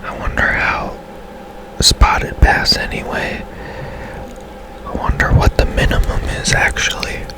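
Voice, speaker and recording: Slow, whispering man doing gaming ASMR. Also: low-quality recording. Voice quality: breathy, unvoiced whisper. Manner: casual, unhurried, halting. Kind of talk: whispered ASMR game commentary.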